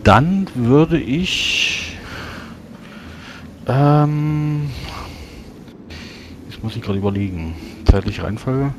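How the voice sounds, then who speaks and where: A man's voice: fairly low pitch, a little mellow, a little hiss or hum. A man casually describing his actions as a role-playing game player, tentatively thinking aloud. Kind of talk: casual tabletop play, describing own actions.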